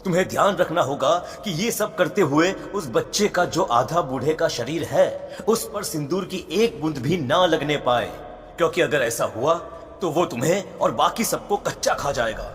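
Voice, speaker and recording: An actor playing a solemn, commanding priest who instructs ominously in a horror cartoon. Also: male voice, fairly high pitch, expressive, normal speed, natural voice. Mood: stern warning. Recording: long reverb, faint music.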